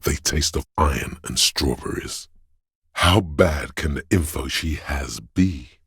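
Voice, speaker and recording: Very deep, gravelly, menacing inner voice. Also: male voice, fairly bright tone, dry recording, clean studio sound, exaggerated voice. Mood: ominous, hushed. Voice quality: gravelly, husky, breathy. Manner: slow, ominous, intense delivery. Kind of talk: dramatic video game voice acting.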